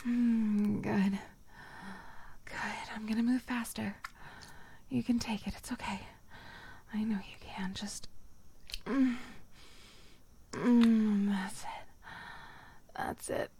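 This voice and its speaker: A woman performing a dominant, teasing audio roleplay, speaking in soft whispers broken by low murmurs. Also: steady tone, slow pace, long pauses, a little hiss or hum.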